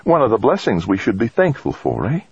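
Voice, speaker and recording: Friendly, breezy woman colleague played by a male audiobook reader, husky, animated, pitched up. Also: brisk, no room sound, muffled recording, full character voice. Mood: cheerful. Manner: breezy and colloquial, calling people mate. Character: adult woman colleague, friendly, cheerful, thoughtful. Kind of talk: audiobook character dialogue.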